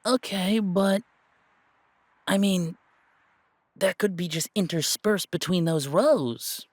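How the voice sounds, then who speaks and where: A man's voice: high-pitched, slow, dry recording, voice pushed up. A man playing an anxious young gamer who doubts himself, hesitant and stammering, in an amateur audio roleplay. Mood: uncertain. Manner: hesitant, stammering, thinking aloud. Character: anxious, self-doubting young male gamer, earnest, reluctant tracker.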